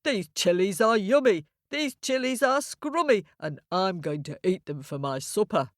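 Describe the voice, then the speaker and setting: A woman's voice: mid-range voice, expressive, unhurried, dry recording, clean studio sound, natural voice. A woman voicing a greedy, rude, stubborn male ram in a children's storybook, scoffing in singsong rhyme. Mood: scoffing, gloating. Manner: scoffing, singsong rhyming delivery.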